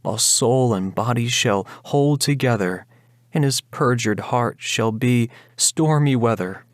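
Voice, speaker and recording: A man's voice: medium pitch, measured pace, no echo, studio quality, lightly acted. A man voicing a pious older mother, tender and admonishing, imploring in a prayerful way in a dramatic ballad reading.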